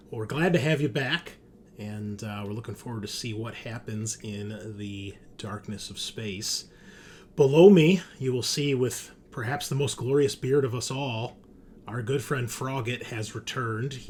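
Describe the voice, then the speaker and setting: A man's voice: medium pitch, a little hiss or hum. A man hosting a tabletop game show, chatting casually and upbeat as he introduces the players. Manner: informal, upbeat, chummy. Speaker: host and game master of a tabletop RPG show.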